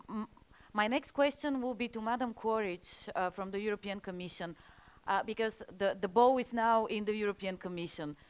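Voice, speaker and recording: A woman's voice: mid-range voice, clean tone. A woman with a Bulgarian accent, a native Bulgarian speaker, moderating an online panel politely and restarting her sentences now and then as she hands over the floor. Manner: polite, with hesitant restarts while introducing speakers. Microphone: video call.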